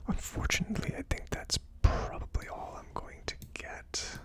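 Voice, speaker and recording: A person whispering in an ASMR style as host of a tasting video, with a tentative, musing delivery. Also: moderate pace. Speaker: host of a whiskey tasting series.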